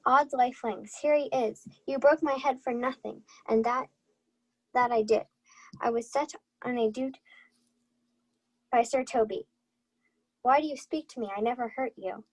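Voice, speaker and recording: A woman's voice: on the high side, unhurried, long pauses, poor audio. A young woman playing a foolish, cowardly, injured knight who complains plaintively in a comic radio play. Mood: aggrieved. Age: young adult.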